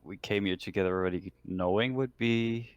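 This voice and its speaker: A man playing a tabletop game, describing his moves casually in a tentative, halting way. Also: on the low side, clear, resonant tone, no room sound, muffled recording. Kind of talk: casual chat during a game.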